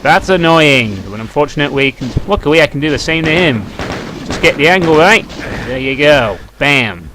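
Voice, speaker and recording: British man, low-key gameplay commentary, noisy recording. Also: animated, moderate pace. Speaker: let's play commentator. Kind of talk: casual off-the-cuff gameplay commentary. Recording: noisy home recording, game sound behind. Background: shooter game gunfire and clicks.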